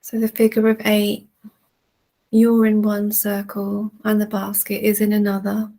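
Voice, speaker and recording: A woman leading a guided relaxation in a soothing, gentle manner with long, unhurried pauses. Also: medium pitch, monotone. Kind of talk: guided relaxation, meditation narration.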